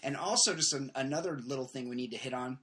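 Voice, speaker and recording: A man hosting a casual comedy podcast, riffing with opinionated, quick takes. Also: medium pitch, a bit bright. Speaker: podcast host.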